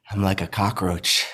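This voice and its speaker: A man's voice: low-pitched, pushed and bright, slightly rough, full character voice. An actor playing a deranged, defiant male villain in a screenplay table read, menacing and taunting. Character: deranged, defiant, unkillable male villain, bearded castaway madman.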